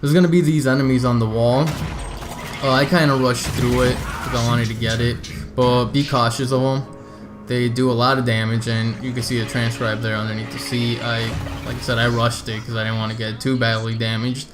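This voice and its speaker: A man's voice: mid-range voice, level tone, fairly quick, music in the background. A man giving a casual, step-by-step video game walkthrough, talking informally and directly to his viewers. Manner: informal, addresses viewers as "you guys".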